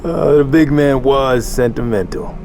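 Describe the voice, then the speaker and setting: A man playing a gruff, sardonic veteran soldier with a dry delivery in a video game cutscene. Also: mid-range voice, unhurried, over music. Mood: dry, guarded.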